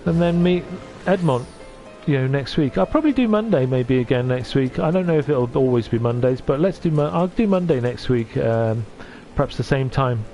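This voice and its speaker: A man from North Wales streaming from his sofa at home. He chats gently with his viewers and often stops and restarts. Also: mid-range voice, expressive, moderate pace, few pauses, faint music behind. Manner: gentle, hesitant, with many restarts. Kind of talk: casual chat reading out viewer comments.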